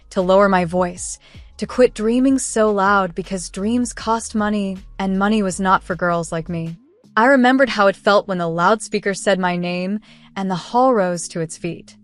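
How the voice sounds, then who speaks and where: A woman narrates a scripted story in the first person. Her delivery is earnest and measured, with a literary storytelling manner and a middle-range pitch. The sound is close and dry, with soft music behind her. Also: no room sound.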